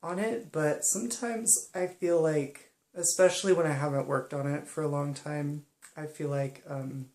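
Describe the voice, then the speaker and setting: A young woman speaking softly and hesitantly in a personal monologue, pausing often. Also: sits low, fairly even intonation, roomy sound. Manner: soft-spoken and hesitant, many pauses and ums. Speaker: vlogger.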